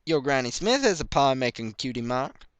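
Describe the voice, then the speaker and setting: A man voicing a kindly, brisk country mother pony in a folksy, motherly manner. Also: medium pitch, sing-song, clear, resonant tone, no room sound, voice pushed up, natural voice. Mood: reassuring.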